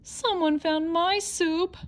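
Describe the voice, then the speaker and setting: Woman voicing a high, squeaky little girl bear cub. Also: steady tone, slow pace. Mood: upset, sputtering. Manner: sputtering, wailing, squealing. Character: little female baby bear, distressed and squeaky.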